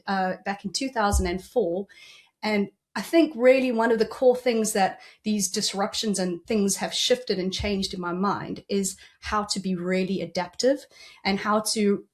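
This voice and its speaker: A woman with a South African accent, a business coach, answering interview questions in an earnest, reflective way with frequent ums. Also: medium pitch.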